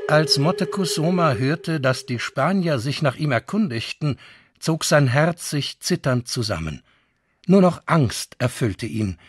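A man's voice: fairly high pitch, lively intonation, measured pace, slightly rough. A man voicing a solemn chronicler, reading historical quotations in a dramatic, storytelling way. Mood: grave, dramatic.

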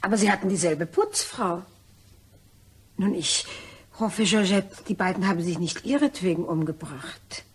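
A woman's voice: lowish voice, normal speed, husky. A woman playing a nervous, evasive mademoiselle with guilty secrets in a German crime radio play, in a jumpy, theatrical and probing way.

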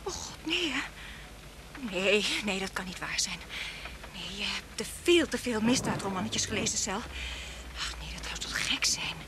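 An actress playing a chatty, nosy, excitable woman with a suspicious imagination in a Dutch radio play, inquisitive in manner. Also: medium pitch, moderate pace, lightly acted. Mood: alarmed, doubting. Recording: very noisy old radio drama recording.

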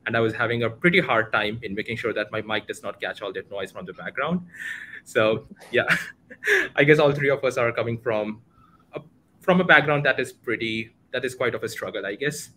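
A man's voice: mid-range voice, brisk, pushed and bright, a little hiss or hum. A man in his twenties with an Indian accent, casually hosting an online community meetup and introducing speakers in an informal way. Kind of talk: casual livestream event introduction. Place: home room, indoors, video call. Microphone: headset.

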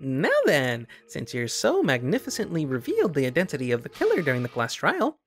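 A man's voice: a little high, huge pitch swings, a little fast. A man voicing a gleeful, taunting bear mascot villain from a video game, mock-polite and theatrical.

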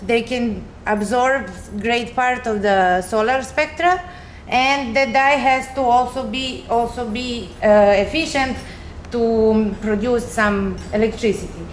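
A woman's voice: on the high side, slow. Young Serbian woman, echoey room. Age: young adult. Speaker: chemistry researcher, recent graduate. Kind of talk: informal explanatory science presentation. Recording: echoey room with faint noise.

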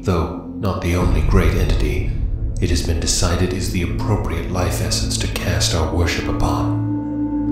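A man narrating scripted occult horror fiction in a grave, measured reading with formal diction. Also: sits low. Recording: echoey voice under loud music.

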